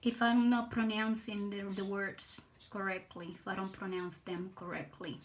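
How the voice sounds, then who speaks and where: An older woman from Puerto Rico with a Spanish and Southern US accent, chatting on her livestream while drawing, nervous, halting and repeating words. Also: mid-range voice, flat delivery, slight background noise, poor audio. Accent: Puerto Rican Spanish mixed with Southern US. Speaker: livestream artist. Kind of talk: casual live stream chat while drawing.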